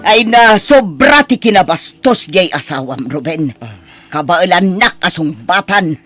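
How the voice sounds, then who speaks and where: A woman's voice: on the low side, animated, measured pace, a bit bright, soft background music. A woman with an Ilocano accent playing a stern, nagging older mother-in-law in an audio drama. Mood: scolding, indignant. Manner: nagging, reproachful.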